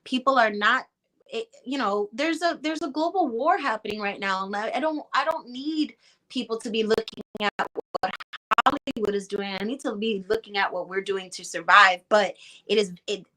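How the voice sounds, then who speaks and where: A woman's voice: fairly high pitch, moderate pace, background noise. A woman with a Chicago Puerto Rican accent, an actress talking passionately and emphatically as a guest in a casual conversation. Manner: passionate, emphatic, acts out quoted reactions.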